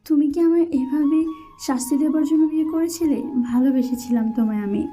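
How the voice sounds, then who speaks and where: A woman's voice: high-pitched, fast, mellow, pitched above the performer's own voice, lightly acted. A woman voicing a reluctant, pleading young newlywed wife in a romantic audio drama. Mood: plaintive, reproachful. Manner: plaintive, protesting. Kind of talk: romantic audio story dialogue. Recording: music behind the voice.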